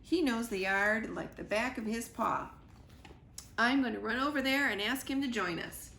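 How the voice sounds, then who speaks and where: A woman reading as a tough-talking little chick detective from a storybook, dropping her voice low and clipping each line. A gravelly edge sits under the voice, in an echoey room. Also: moderate pace, pushed and bright, slight background noise. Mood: dismissive. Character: gruff, no-nonsense chick detective, female.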